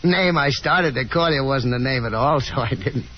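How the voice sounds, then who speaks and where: A man's voice: mid-range voice, moderate pace, close to an everyday voice. An actor playing a friendly, confident young man with a boyish, folksy manner in 1950s radio drama. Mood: surprised, recalling. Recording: muffled 1950s radio broadcast, hissy noise.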